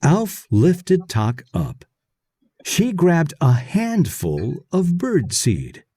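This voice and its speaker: A man reading a children's story aloud in short, deliberate, clearly separated phrases. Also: a little high, wildly swooping pitch, mellow, dry recording, clean studio sound. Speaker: read-aloud narrator.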